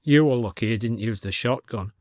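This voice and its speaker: A man's voice: medium pitch, a little fast, dry recording, studio quality, close to an everyday voice. A man voicing a wry, easygoing male antique shop owner with a blunt manner, in a story.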